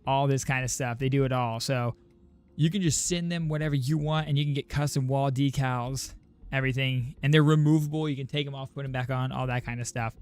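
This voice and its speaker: A man's voice: mid-range voice, fairly even intonation, brisk, darkish tone, clear, resonant tone. A man hosting a game livestream, chatting informally and directly to his viewers. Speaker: game streamer and host. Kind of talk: casual livestream chat.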